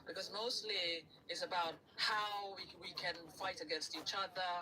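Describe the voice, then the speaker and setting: A man from Uganda with a high, bright, ringing voice talks earnestly on a live stream panel, often restarting his sentences. He sounds set back from a distant microphone. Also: fairly even intonation, moderate pace. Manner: earnest, thoughtful, with frequent restarts. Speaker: panel guest.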